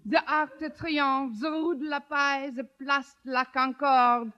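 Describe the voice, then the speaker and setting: Theatrical, high-voiced wry schoolteacher. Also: female voice, steady tone, a little slow, muffled recording. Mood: mock-grand. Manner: theatrical, puts on comic European accents. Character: wry, playful female schoolteacher hamming up a travel pitch. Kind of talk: 1950s radio comedy acting. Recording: noisy, crackly 1950s radio recording.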